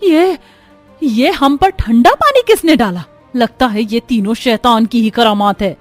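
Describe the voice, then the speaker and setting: Villainous cartoon aunt, narrator acting: female, raised pitch, bossy, sharp-tongued, menacing scold. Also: expressive, measured pace, no echo, faint music behind. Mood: shocked, annoyed. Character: cruel, scolding aunt bullying orphaned children.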